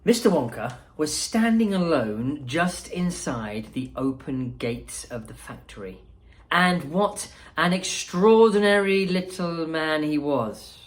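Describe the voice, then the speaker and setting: A woman, a school principal reading a storybook aloud expressively, with pauses and small asides. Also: sits low. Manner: unhurried, expressive, pausing.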